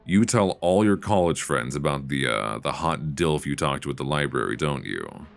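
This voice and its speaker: A man playing a mild-mannered, flirtatious middle-aged librarian who can turn quietly commanding, in an intimate, conversational ASMR roleplay on a close mic. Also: a bass voice, animated, moderate pace, gravelly, full character voice. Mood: teasing, bashful. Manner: conversational, hesitant, teasing. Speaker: audio roleplay performer. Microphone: close binaural microphone.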